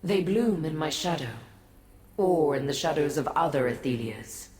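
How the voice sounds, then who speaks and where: An actress playing a solemn, weary female deity in a fantasy game, speaking in a grave, formal, measured manner. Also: low voice, expressive, a little mellow. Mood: regal, measured. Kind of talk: scripted fantasy game dialogue. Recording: echoey reverb, faint noise.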